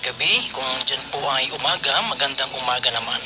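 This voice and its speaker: A man with a Filipino accent hosting a radio program, greeting listeners and reading out names in a deliberate way. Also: medium pitch. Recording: music playing behind radio voice. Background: music.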